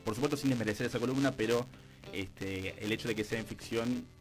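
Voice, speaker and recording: A man with an Argentine accent, a guest in a radio interview, explaining things in a measured conversational way. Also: medium pitch, soft background music, poor audio. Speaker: radio drama producer and guest.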